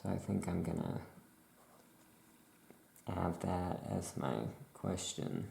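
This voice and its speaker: A very creaky, soft-spoken voice plays an easygoing witch shopkeeper in an ASMR roleplay. The delivery is slow and rambling, often trailing off. It sounds recorded in a roomy, echoing space. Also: deep voice. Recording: roomy echo, faint background noise.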